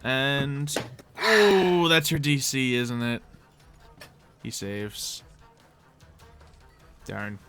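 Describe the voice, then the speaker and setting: A man runs a tabletop roleplaying game as game master. His voice is bright and mid-pitched, casually improvising as he goes, over faint music. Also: drawn-out words, long pauses.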